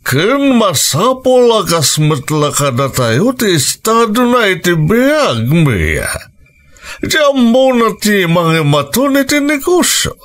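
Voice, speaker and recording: Slow, sweeping, high-pitched wealthy father. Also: a bit bright, heavily acted. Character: wealthy, insistent businessman father, older, doting but controlling. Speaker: voice actor. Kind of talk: Filipino radio drama acting.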